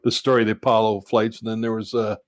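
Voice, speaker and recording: A man chatting on a podcast, halting and repeating words as he talks. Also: on the low side, level tone. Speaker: podcast guest. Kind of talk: casual chat.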